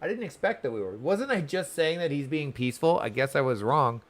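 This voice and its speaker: A man's voice: fairly high pitch, animated, fairly quick. A man giving casual commentary as he streams himself playing a video game.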